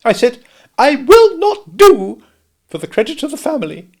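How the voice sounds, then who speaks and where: A man puts on a shrill, affected older lady in a storybook who frets and complains about family propriety. She swoops high with indignation, a gravelly edge under the put-on pitch. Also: huge pitch swings, moderate pace, full character voice. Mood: indignant. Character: fussy affected older lady relative, self-pitying.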